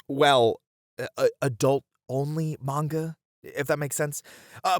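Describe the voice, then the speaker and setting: A man's voice: a little high, fairly bright tone, no room sound, studio quality, exaggerated voice. A man voicing a friendly, bashful bunny man who chats helpfully to the listener in an ASMR roleplay. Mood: flustered, hesitant. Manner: chatty, helpful, easily flustered, breaking into chuckles and hesitations. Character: friendly, bashful bunny man, bookish manga cafe regular. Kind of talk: ASMR roleplay, one-sided conversation with the listener.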